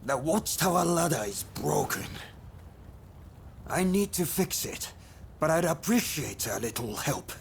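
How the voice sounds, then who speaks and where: Practical game helper, courteous, highish pitch, lively intonation, faint ambient noise. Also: male voice, unhurried, creaky, exaggerated voice. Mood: polite. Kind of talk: video game dialogue.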